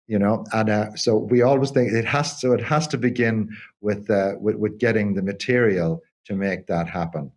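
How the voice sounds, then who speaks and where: An older man, a theatre company co-founder, talking in an interview in a halting way with many repeated restarts. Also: fairly low pitch, fairly even intonation, a little mellow. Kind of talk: conversational interview.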